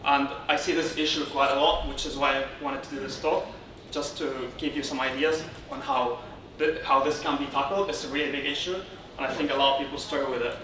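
A man from Syria giving an informal technical conference talk as a speaker, often halting and rephrasing as he goes. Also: medium pitch, fairly even intonation, bright, forceful tone. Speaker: conference speaker, software consultant. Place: indoor darkened conference room, on stage beside a lectern. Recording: roomy, distant, noisy room sound.

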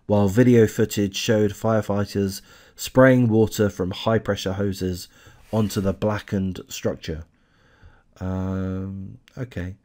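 A man's voice: fairly low pitch, a little mellow. A man hosting a true crime channel, casually reading a news article aloud with lots of hesitant fillers and restarts, and giving blunt opinions.

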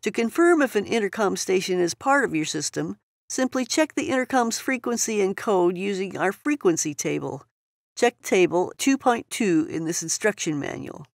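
A woman's voice: medium pitch, expressive, moderate pace, vocal fry, no echo. Woman narrating instructions, dry close sound. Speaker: product tutorial narrator.

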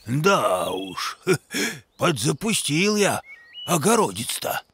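Slow, gravelly folksy bear. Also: male voice, fairly high pitch, very animated, a bit bright, a little hiss or hum, exaggerated voice. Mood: sheepish. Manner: rustic, folksy drawl. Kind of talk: animated children's cartoon acting. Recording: music behind the voice.

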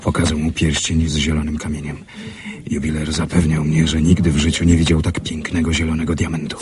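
An actor playing an obliging, discreet ship's steward in a radio drama, speaking formally and deferentially. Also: male voice, rumbling low, completely flat delivery, fairly quick. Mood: confidential. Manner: servile, formal. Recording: clearly noisy radio play recording.

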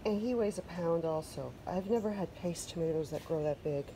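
A woman's voice: lowish voice, normal speed, mellow, slight background noise, rough recording. A Canadian woman chatting casually through a home garden vlog, loose and self-deprecating, with plenty of fillers. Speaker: vlogger. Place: covered wooden deck outdoors.

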